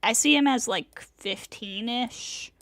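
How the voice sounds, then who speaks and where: A woman's voice: on the high side, slow pace, a bit bright. A woman live streamer chatting casually to her viewers.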